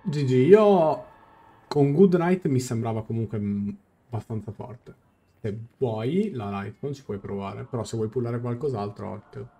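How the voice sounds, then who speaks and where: A man's voice: mid-range voice, animated, a little slow, dark tone. A young man giving casual live game commentary, thinking aloud as he explains and translates. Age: young adult. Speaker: game streamer. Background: game music.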